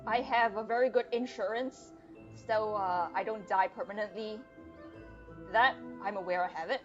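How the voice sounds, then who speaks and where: A woman's voice: medium pitch, fairly even intonation, normal speed. A young woman giving casual, joking game commentary as a streamer, on a slightly dull lo-fi microphone. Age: young adult. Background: game music.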